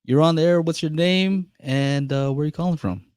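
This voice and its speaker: A man's voice: fairly high pitch, moderate pace, darkish tone, smooth, dry recording, studio quality. A man hosting a live call-in podcast, chatting casually and laughing easily with callers. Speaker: podcast host.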